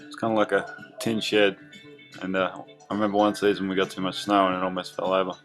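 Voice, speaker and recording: A young Australian man casually telling the story of his childhood, rambling with lots of fillers over soft background music. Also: low voice, flat delivery, quick pace. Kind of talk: casual narration over drawings.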